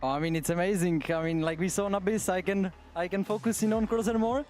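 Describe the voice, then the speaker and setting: High-voiced male pro player. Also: steady tone, quick pace, darkish tone, soft background music. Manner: loose, laughing, leans on "you know". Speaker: pro player giving a post-match interview. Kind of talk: post-match stage interview. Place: on stage in an arena.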